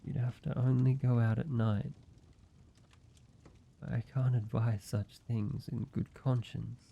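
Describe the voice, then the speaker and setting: A man playing a gentle, devoted male vampire lover in an intimate audio roleplay, speaking earnestly and haltingly to the listener. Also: mid-range voice, flat delivery, creaky. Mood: stunned, hesitant. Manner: halting, hushed pleading, soft reassurance with pauses. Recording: dull sound, faint background noise.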